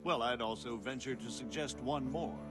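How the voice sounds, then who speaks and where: An actor playing a shrewd, diplomatic medieval margrave in a game cutscene, with formal, deliberate speech. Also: male voice, mid-range voice, over loud music, lightly acted. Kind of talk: video game cutscene acting.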